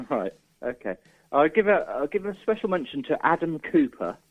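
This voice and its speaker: A man's voice: a little high, very animated, moderate pace. Dull lo-fi recording of a hesitant man. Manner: hesitant, informal, matey. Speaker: interview guest, musician. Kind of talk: casual radio interview chat.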